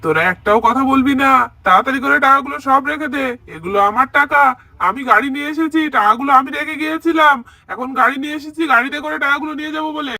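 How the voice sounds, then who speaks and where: A woman's voice: high voice, fast talker, sharp tone, dry recording. A woman voicing a greedy, bossy, scheming man in a children's doll story. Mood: bossy, demanding. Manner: bossy, insistent.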